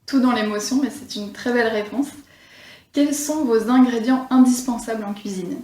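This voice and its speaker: A woman interviewer with a soft-toned, mellow voice asks prepared questions at a medium pitch. She sounds set back from the microphone in a very echoey room. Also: normal speed. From northern France. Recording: distant mic, echoey room, faint noise.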